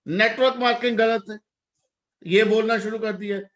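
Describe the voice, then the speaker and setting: A man's voice: high-pitched, fairly even intonation, normal speed, a bit bright, roomy sound. A man in his forties from India, a parent speaking earnestly and reflectively in a casual talk, mixing English words into his speech. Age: 40s. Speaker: parent guest.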